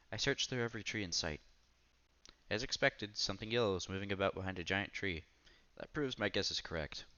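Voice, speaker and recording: A man's voice: low voice, sharp tone, muffled recording. A man reading a visual novel aloud as a streamer, with casual commentary along the way.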